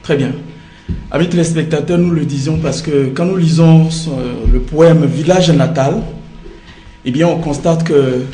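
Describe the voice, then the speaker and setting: Male book show host, middle-aged. Fairly high, soft-edged mellow voice in a roomy studio. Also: fairly even intonation, moderate pace. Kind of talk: literary interview. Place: small indoor TV studio. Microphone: shared desk microphone. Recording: roomy, echoey studio sound.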